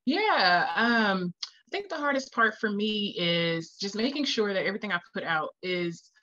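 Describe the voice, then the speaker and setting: Bright-voiced woman, slightly muffled recording. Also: medium pitch, animated, normal speed. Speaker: guest, children's book author. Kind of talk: interview answers, casual chat.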